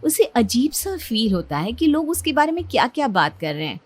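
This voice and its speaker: A woman's voice: a little high, sing-song, a little fast. A woman narrating a scripted story as its storyteller.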